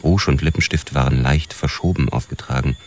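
An actor playing a world-weary police detective who narrates his own story in a measured, deadpan way for a radio drama. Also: extremely low pitch, slight background noise. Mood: coolly appraising.

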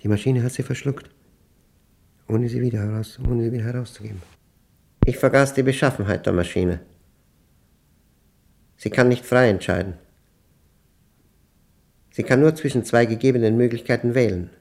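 A male actor plays a brooding man of numbers confiding his diary in a radio drama. His voice is mellow and dark, sitting at an average male pitch. He speaks in short, measured, deliberate phrases with a grave, inward temper. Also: lightly acted. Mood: obsessive, controlled. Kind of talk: dramatic monologue in a German radio play.

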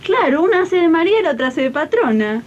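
A woman's voice: very animated, fast. A woman playing a decisive, coaxing, colloquial domestic worker with an Argentine accent in an amateur radio drama. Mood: keen. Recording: dull lo-fi sound, faint rumbling noise.

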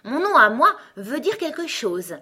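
A woman's voice: high-pitched, sing-song, measured pace, pushed and bright, pitched above the performer's own voice, heavily acted. A woman voicing a pompous, irritable male talking egg in a storybook, with a haughty, emphatic delivery. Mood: boastful, self-important. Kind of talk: storybook character acting.

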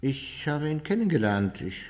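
An actor playing an older Nazi war criminal under interrogation in a radio drama, giving terse, evasive, defiant denials. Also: male voice, on the high side, very animated, normal speed, darkish tone. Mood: evasive, guarded. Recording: reverberant interrogation room.

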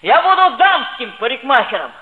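A woman's voice: sits high, very animated, normal speed, narrow, tinny audio. An actress playing a cocky, teasing seventh-grade boy in a 1960s radio play. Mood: gleeful, teasing. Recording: reverberant, thin old radio recording.

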